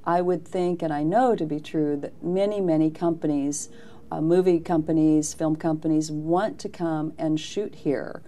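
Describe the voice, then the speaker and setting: A woman's voice: low voice, steady tone, normal speed, dark tone. A woman hosting a local TV interview, chatty and encouraging, often affirming her guest. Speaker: TV interview host.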